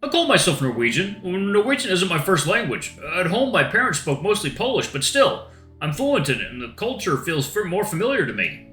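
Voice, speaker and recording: Friendly, chatty young guy, a man doing the voice, lighter and higher than the narrator, in a roomy recording. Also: sing-song, brisk, fairly bright tone. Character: friendly young male camp-goer, upbeat and candid. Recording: roomy echo with faint guitar music.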